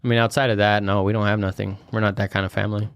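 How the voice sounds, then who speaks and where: A man's voice: very fast, clean tone, no echo. Joking young man, dry close sound. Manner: animated, joking, lots of fillers, jumps high in pitch when quoting people. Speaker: podcast co-host. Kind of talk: casual chat.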